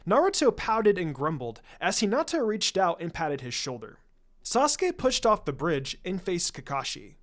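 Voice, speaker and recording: A man's voice: sits high, wildly swooping pitch, normal speed. A man reading a story aloud as narrator, in scripted narration. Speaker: fan fiction narrator.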